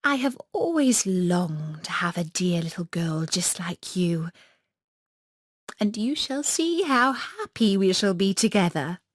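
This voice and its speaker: Woman reading as a soft, coaxing old storybook witch. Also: medium pitch, big pitch swings, takes it slowly, no echo, studio quality. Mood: tender, coaxing. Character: kindly, doting old witch in a fairy tale. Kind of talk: storybook character voice.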